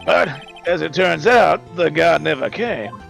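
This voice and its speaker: A man acts out a theatrical, sardonic prosecutor from a courtroom game. The voice is gravelly yet fairly high, with animated swings and a dismissive edge, over faint music. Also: a little slow, heavily acted. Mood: smug, dismissive. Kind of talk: live fan dub of a courtroom video game.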